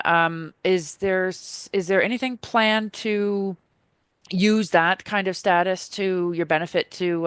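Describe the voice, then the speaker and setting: Woman councillor, smooth resonant voice, hesitant, dry close sound. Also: lowish voice, a bit bright, no room sound. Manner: hesitant, many ums, thinks aloud. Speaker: council member asking questions. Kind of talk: questioning at a council meeting.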